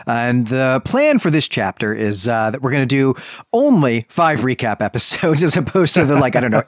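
Guy co-hosting a book podcast, really animated pitch, chatty and conversational, super dry sound. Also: no echo. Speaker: podcast co-host. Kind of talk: conversational literary recap.

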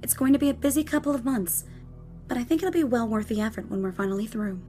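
Quick polished pr lady woman acting, audio drama spokesperson reassuring everyone, faint music behind. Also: a little high, fairly even intonation, mellow, natural voice. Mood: reassuring, businesslike. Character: polished female corporate spokesperson reassuring the public.

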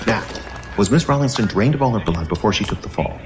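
A man acting a fast-talking, wheedling reporter in an old-time radio drama. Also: fairly low pitch, lively intonation, music in the background. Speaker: reporter character in a radio play.

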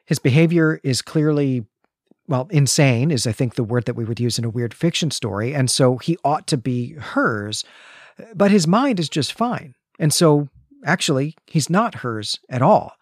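A man's voice: medium pitch, lively intonation, a little slow, dry recording, clean studio sound. A man co-hosting a podcast, recapping a comic story in an articulate, explanatory way. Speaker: podcast co-host.

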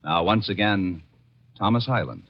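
Male announcer for classic radio series intro; proclaiming, lively intonation, mid-pitched, old muffled recording. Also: normal speed. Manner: declamatory, formal. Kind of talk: 1950s radio show introduction. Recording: dull vintage radio recording. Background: music.

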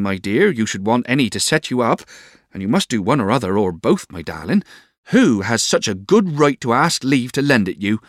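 Man voicing a doting older servant woman, raised pitch. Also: dry recording, clean studio sound, close to an everyday voice. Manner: tender, coaxing, rambling endearments.

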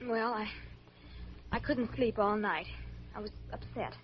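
An actress playing a nervous, defensive young woman patient in a 1940s radio drama, hesitant and quick to flare up. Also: medium pitch, close to an everyday voice. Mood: nervous, evasive. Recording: muffled, noisy vintage radio recording.

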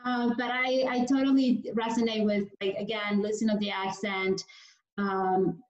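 A woman's voice: mid-range voice, level tone, unhurried. Curious woman interviewer in a roomy space. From Peru. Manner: warm-hearted curious questioning with fillers. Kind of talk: casual interview. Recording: roomy, dull sound.

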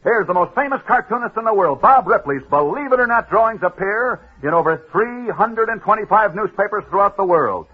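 A man hosting a radio sports newsreel, telling stories with dramatic pauses and punchy emphasis. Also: high-pitched, expressive, breathy edge. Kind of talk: 1940s radio sports storytelling. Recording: tinny old radio broadcast.

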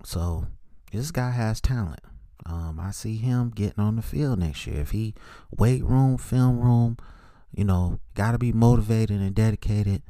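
Male sports host, low relaxed voice, dry close sound, blunt player evaluations in short phrases. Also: unhurried, no echo. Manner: plainspoken, opinionated, clipped note-like phrases. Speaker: host of a sports opinion show. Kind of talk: solo football scouting commentary, part read from notes.

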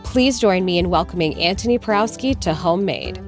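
A woman's voice: fairly low pitch, expressive, fairly quick, soft background music. A young woman from Canada hosting a food podcast, upbeat and friendly, reading a scripted intro and then chatting casually. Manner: upbeat, friendly, laughs easily. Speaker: podcast host.

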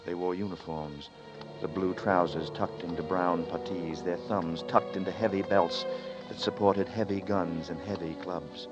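A deep-voiced, brooding narrator. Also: male voice, steady tone, measured pace, few pauses. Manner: tense, hesitant, brooding. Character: troubled man narrating his ordeal. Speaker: narrator and lead. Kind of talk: 1940s radio drama narration. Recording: lo-fi 1940s radio broadcast with music.

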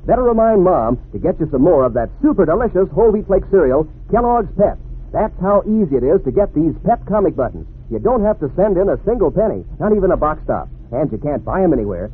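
A man's voice: high voice, slightly rough. A man working as a 1940s radio announcer, selling to children in a folksy, enthusiastic way. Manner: folksy, peppy, enthusiastic salesmanship. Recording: narrow-band vintage radio recording.

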